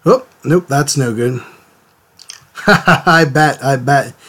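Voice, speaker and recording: A man hosting a live drawing stream, a little hoarse from a cold, chatting casually and greeting viewers by name. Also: medium pitch, extremely slow, fairly bright tone. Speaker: art stream host. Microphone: desk mic, sometimes turned away from it.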